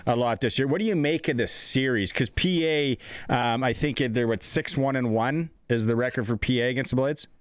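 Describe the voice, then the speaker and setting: A man's voice: mid-range voice, brisk, clear, resonant tone, no room sound. Middle-aged Canadian male sports interviewer, clean resonant voice, dry close sound, informal and conversational. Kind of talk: casual interview chat.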